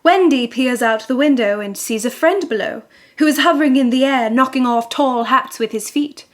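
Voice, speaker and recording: A woman with a high voice narrates a children's tale. She reads scripted storybook prose as part of a radio play. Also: moderate pace, slight background noise. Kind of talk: storybook narration in an audio drama.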